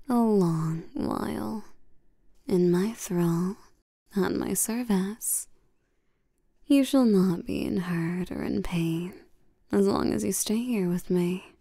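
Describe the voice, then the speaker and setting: Slow, creaky, seductive vampire queen, acted by a woman. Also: medium pitch, dark tone, no echo, clean studio sound. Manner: slow, teasing, domineering, with terms of endearment. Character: seductive, commanding vampire queen, condescending toward humans. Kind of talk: intimate roleplay audio acting.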